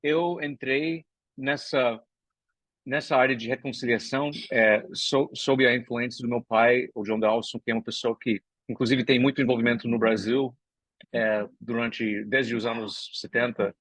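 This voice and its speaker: A man's voice: mid-range voice, fairly even intonation, moderate pace, a bit bright, no echo, low-quality recording. A man speaking as a guest at a prayer meeting, thoughtful, with small restarts.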